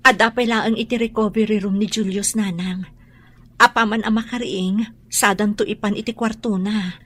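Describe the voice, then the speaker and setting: A woman's voice: medium pitch, steady tone, normal speed, lightly acted. Woman acting earnest, worried Ilocano mother. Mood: anxious. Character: worried, devoted middle-aged mother and dutiful daughter. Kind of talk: Ilocano radio drama acting. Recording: noisy radio drama recording.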